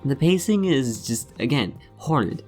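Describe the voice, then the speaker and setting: A man's voice: mid-range voice, animated, measured pace, a little mellow, faint music behind. A man delivering scripted game review commentary in a scornful, sardonic manner, swearing freely.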